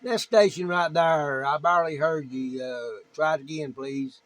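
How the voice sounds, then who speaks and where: A man's voice: fairly high pitch, measured pace, a bit bright, slight background noise. A man with an East Tennessee accent chatting casually as a ham radio operator, in a folksy, plain-spoken way. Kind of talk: casual chat and demonstration over ham radio.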